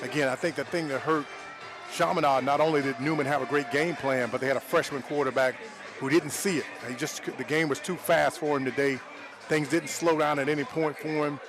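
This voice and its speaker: A man's voice: fairly high pitch, fairly even intonation, brisk, over music. A man giving conversational football analysis as a color commentator, plain-spoken and folksy, repeating his points.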